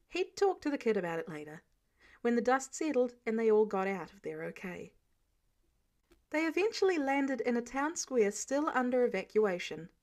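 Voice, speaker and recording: A woman reading a story aloud as scripted audiobook narration. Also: fairly high pitch. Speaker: amateur audiobook reader.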